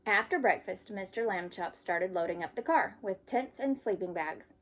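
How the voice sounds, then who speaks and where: A woman reading a children's story aloud like a teacher, with expressive storytelling. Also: mid-range voice, normal speed.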